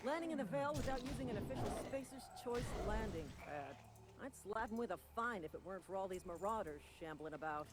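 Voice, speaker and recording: An actor playing a wounded, officious frontier guard in a video game, folksy and earnest, reciting company patter in a drawl. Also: male voice, high-pitched, expressive, quick pace, music in the background. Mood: indignant. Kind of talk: video game character acting.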